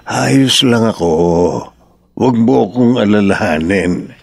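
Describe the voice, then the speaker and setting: An ailing older Filipino father in a radio drama. His low voice is gravelly and slow, loving and self-effacing. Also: no room sound, studio quality. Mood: loving, frail. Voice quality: husky, gravelly.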